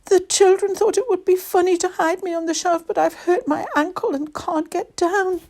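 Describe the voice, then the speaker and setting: A woman voicing a small, excitable male elf who exclaims in an urgent, fretful way. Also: very high voice, normal speed, voice pushed up. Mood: upset, embarrassed.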